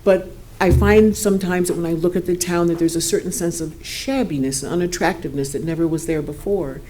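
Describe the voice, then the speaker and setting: An older woman speaks at a council podium with a dark, mellow voice. She is earnest and concerned, with a naturally strong voice she tries to keep down. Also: lowish voice, brisk. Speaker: resident giving public comment. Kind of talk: public comment at a meeting. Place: city council chamber podium.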